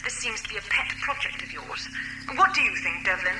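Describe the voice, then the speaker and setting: A woman acting a commanding, scheming chief in an audio drama. She speaks with imperious impatience and deliberate phrasing, in an echo with music behind. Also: mid-range voice, animated, close to an everyday voice.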